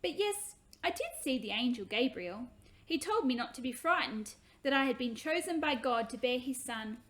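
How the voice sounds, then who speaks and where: A narrator as a gentle young biblical woman, raised pitch. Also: expressive, moderate pace. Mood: earnest. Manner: kindly, questioning, earnest. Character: gentle, pregnant young woman from ancient Nazareth.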